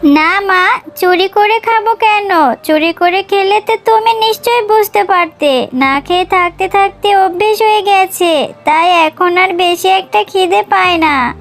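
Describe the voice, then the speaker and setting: Very high, plaintive little fox girl. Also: unhurried, soft background music. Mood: meek. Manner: plaintive, pleading. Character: sad, pleading little orphan fox girl. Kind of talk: children's cartoon dialogue.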